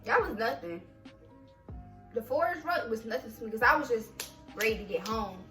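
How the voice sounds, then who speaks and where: A young woman co-hosting a vlog, telling army stories in an animated, profane, laughing way. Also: a little high, moderate pace, roomy sound, far from the mic, faint music behind. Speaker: vlog co-host, army veteran. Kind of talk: casual storytelling chat.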